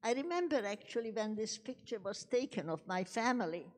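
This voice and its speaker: An older woman from Czechoslovakia whose first language is Hungarian, telling her life story to students in a slow, gentle way with some restarts, holding a handheld mic in a large synagogue. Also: a little high, expressive. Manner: slow, gentle, halting speech with restarts and soft laughs. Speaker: guest speaker. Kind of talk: personal testimony talk. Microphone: handheld microphone. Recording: long reverb of a large hall.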